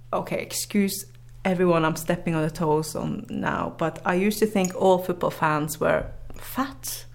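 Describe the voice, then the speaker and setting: A woman from Norway with a Norwegian accent, a journalist and author telling her story in an interview, chatty and quick to laugh. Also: lowish voice, vocal fry. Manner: chatty, laughs often, many fillers and restarts.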